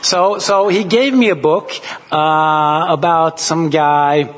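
A man's voice: on the high side, slow, a bit bright. A man, an author on a panel, telling dry, amusing anecdotes in a casual way. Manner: anecdotal, wry storyteller. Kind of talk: panel conversation. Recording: long hall reverb.

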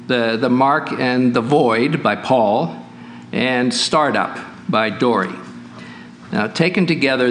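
A man moderating an author panel at a festival, mid-pitched and plain-voiced. He talks with frequent hesitations and restarts, with faint noise behind him. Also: fairly bright tone. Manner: hesitant, many uh fillers and restarts.

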